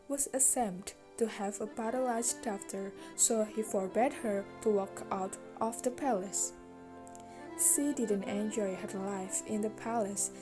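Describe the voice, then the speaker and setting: A woman from Indonesia narrating a children's story as a scripted storyteller for a puppet show. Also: mid-range voice.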